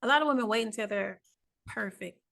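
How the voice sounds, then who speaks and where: A woman's voice: on the high side, fairly quick, gravelly, fairly bright tone. A woman hosts a podcast interview. She asks her guest questions and answers with short, encouraging reactions. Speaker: podcast host.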